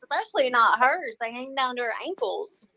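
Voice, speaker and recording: A woman co-hosting a casual stream, making wry, drawn-out asides. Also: sits high, sing-song, creaky, pushed and bright. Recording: noisy stream audio.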